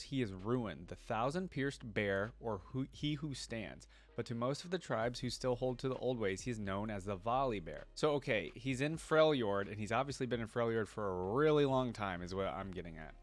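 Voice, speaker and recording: A man with a medium-pitched voice hosts a casual reaction video. He moves between reading text aloud and informal commentary, often thinking out loud and putting questions to himself.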